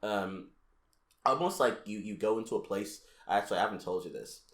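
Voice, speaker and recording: A man's voice: lowish voice, a little fast, reverberant. A young man co-hosting a casual podcast, rambling and laughing, with lots of swearing and restarts. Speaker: podcast co-host. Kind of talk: casual chat.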